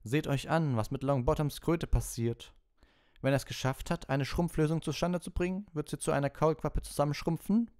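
A man puts on a strict, spiteful schoolmaster for an audiobook. The voice is lifted well above a natural low register and pushed louder, giving deliberate, commanding orders with a cold sneer. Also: clean tone, close to an everyday voice. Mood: stern, sneering. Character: stern, spiteful male potions teacher, coldly sneering.

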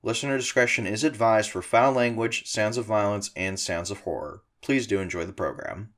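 A man's voice: lowish voice, normal speed, a bit bright, slight background noise. A man reading a scripted disclaimer as an announcer.

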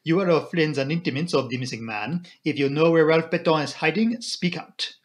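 A man voicing an elderly, courteous detective in an audiobook, with a formal, imploring, deliberate delivery. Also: on the high side, natural voice. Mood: grave appeal. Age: older. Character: elderly Belgian male detective, courteous, earnest, commanding.

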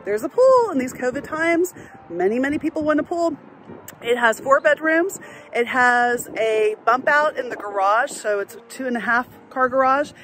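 A woman's voice: high-pitched, expressive, moderate pace, fairly bright tone, slight background noise, poor audio. A middle-aged woman presenting a house as a real estate agent, upbeat and promotional, speaking outdoors into a phone. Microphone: phone at arm's length.

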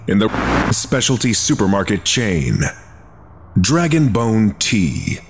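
A man narrating archive files in a flat, clinical documentary reading. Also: on the low side.

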